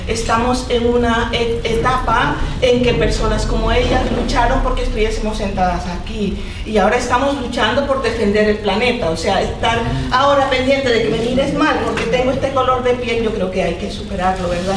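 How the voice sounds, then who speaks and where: A woman speaks at a public debate, slowly finding her words through fillers and restarts. Her voice sits a little high. She is recorded from a distance in a big, strongly echoing hall with faint noise behind her. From Colombia, Caribbean coast. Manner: hesitant, searching for words, many fillers and restarts; puts on a mocking voice when quoting others. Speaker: panelist at a debate. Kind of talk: unscripted public talk. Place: large echoey hall. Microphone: far from the microphone. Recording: echoey hall, distant mic.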